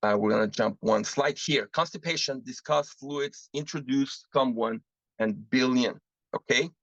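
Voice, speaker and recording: A man from Mexico, presenting a live webinar on interpreting and asking the audience lively rhetorical questions. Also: mid-range voice, unhurried, creaky, no echo. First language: Spanish. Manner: animated teaching, frequent rhetorical questions, switches into Spanish examples. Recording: muffled lo-fi dry webinar audio.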